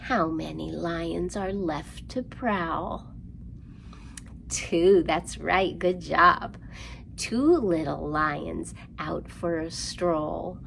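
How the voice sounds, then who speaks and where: A woman presenting a children's storytime, speaking in an animated, sing-song way and prompting young listeners through rhymes and counting. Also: on the low side, very slow, gravelly, a little hiss or hum.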